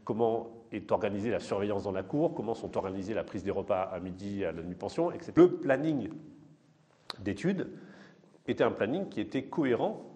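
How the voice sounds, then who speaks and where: A man's voice: on the low side, lively intonation, fairly quick, dark tone. A man giving explanatory interview testimony as a project consultant, with technical, methodical wording.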